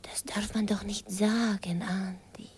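An actress playing a young Hungarian village girl, tender and dreamy, in a hushed, soft voice, in an old radio recording. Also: medium pitch, flat delivery, measured pace, natural voice. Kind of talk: 1950s German radio drama acting. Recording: faint hiss of an old 1951 radio recording.

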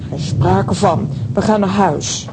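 A woman acting an excitable, exclamatory female character in a children's audio drama. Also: slow. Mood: firm. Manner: theatrical, exclamatory. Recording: faint noise and low rumble.

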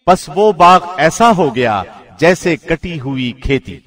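A man reading a scripture translation from a script in a formal, measured radio-announcer manner. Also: on the high side, big pitch swings. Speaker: translation reader, radio presenter.

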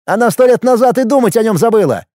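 A man voicing an anxious, exasperated younger brother, a sharp-tongued medical student who scolds in an audiobook story. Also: high voice, animated, moderate pace, no room sound, clean studio sound, pitched above the performer's own voice. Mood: scornful, heated. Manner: agitated, scolding.

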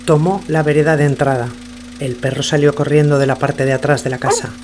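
A man's voice: a little high, fairly even intonation, brisk, darkish tone, slight background noise. A man reading scripted literary narration for a radio drama.

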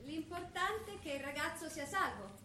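A woman's voice: high voice, very animated, moderate pace. Woman acting as classy teasing journalist, confident, swooping intonation, stage comedy, distant in echoey hall. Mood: probing. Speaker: stage actress. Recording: echoey, noisy theatre, distant mic.

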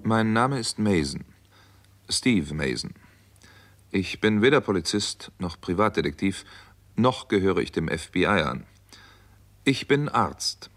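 An actor playing a composed, resolute doctor who narrates a radio crime story in a measured, matter-of-fact way. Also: male voice, low-pitched, animated, a bit bright, exaggerated voice. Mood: measured narration. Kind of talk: scripted first-person crime drama narration.

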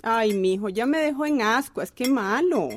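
A woman's voice: fairly high pitch, expressive, unhurried, a little hiss or hum. An actress playing a doting, fussing middle-aged mother in a radio drama. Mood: coaxing.

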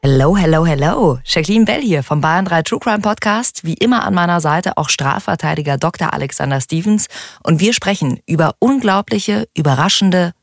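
A woman's voice: on the low side, animated, normal speed, no echo, clean studio sound. A woman hosting a true crime podcast, delivering a chatty promo.

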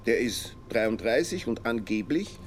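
A man's voice: mid-range voice, animated, unhurried, slight background noise, natural voice. An actor playing a dry, matter-of-fact male agent in a radio drama.

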